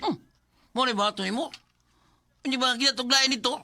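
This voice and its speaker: A man's voice: very high-pitched, fairly quick, pushed and bright, dry recording, soft background music, exaggerated voice. A man with a Cebuano Filipino accent playing an outspoken confidant giving frank advice in a radio drama. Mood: prodding.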